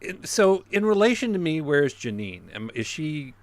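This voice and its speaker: A man's voice: a little high, very animated, normal speed, dry recording. A man playing a tabletop game, casually talking through his move in a tentative, meandering way. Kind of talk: casual game chat, thinking aloud.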